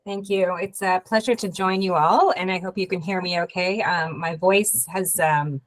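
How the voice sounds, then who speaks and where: A woman from Canada, hoarse from a cold, giving a halting webinar talk over a video call with many fillers and restarts. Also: on the low side, steady tone, poor audio. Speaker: guest speaker, investment program head. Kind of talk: webinar presentation.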